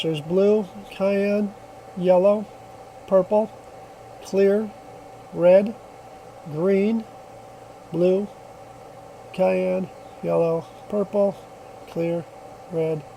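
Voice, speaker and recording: A man's voice: sits high, steady tone, very slow, vocal fry, long pauses, mellow, a little hiss or hum, poor audio. A man giving a casual hands-on product demonstration in a plainspoken, folksy, reassuring way, recorded on a phone.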